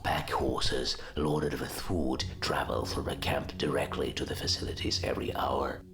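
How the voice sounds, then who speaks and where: A man voicing a sinister, calculating orc warlock in a gravelly whispery rasp, briefing slowly in a game cutscene. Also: very deep voice, level tone, over music, exaggerated voice. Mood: grave scheming. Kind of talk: video game cutscene acting.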